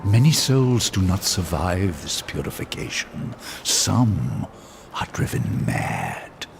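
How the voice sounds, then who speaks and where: A sly scarecrow courtier with a low, gravelly voice. He drawls slowly and mockingly with theatrical swoops, as in a dark game cutscene, with loud noise around him. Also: sing-song, soft background music, exaggerated voice. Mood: ominous. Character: sly, taunting male scarecrow-like servant of dead king. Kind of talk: dramatic video game cutscene acting. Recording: loud ambient noise behind the voice.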